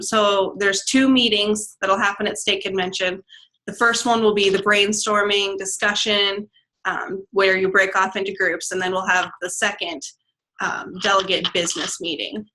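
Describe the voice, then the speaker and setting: A young woman from Nebraska with a bright, tense voice explains what will happen at a convention. She speaks as a state student officer, reassuring and friendly, with frequent "um"s. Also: medium pitch, rough recording. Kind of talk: explaining procedures on a conference call.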